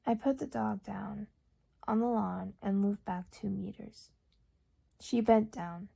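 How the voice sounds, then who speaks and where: A young woman whose first language is Urdu, reading a novel aloud in a calm, measured way, dropping to near-whispers at times. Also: mid-range voice, creaky, breathy edge. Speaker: audiobook reader. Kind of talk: audiobook narration.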